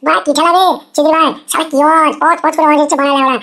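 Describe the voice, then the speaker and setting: A woman voicing a foul-mouthed, grumbling young drunken village man in a crude comic cartoon, ranting with an artificially high-pitched voice. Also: steady tone, racing, sharp tone, a little hiss or hum. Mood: gossipy. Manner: ranting, swearing constantly.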